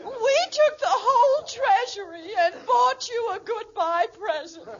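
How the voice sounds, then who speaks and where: A woman's voice: very high-pitched, animated, unhurried, dull audio, background noise. An actress playing a weepy, gushing society lady in a 1940s radio sitcom, tearful and ceremonious, on an old recording.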